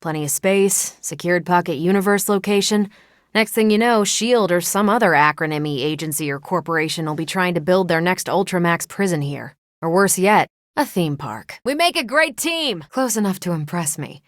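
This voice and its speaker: A woman's voice: on the low side, animated, normal speed, dry recording, natural voice. A woman performs a confident American superheroine for a video game. Her delivery is self-assured and wry, like a seasoned military-minded pilot talking to teammates. The sound is close and dry, with no room on it. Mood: rallying.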